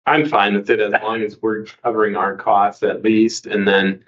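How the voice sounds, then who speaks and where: A man on the board voices his conditions in a measured, plain way. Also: fairly low pitch, little pitch movement, a bit bright, highs cut off. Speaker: board member.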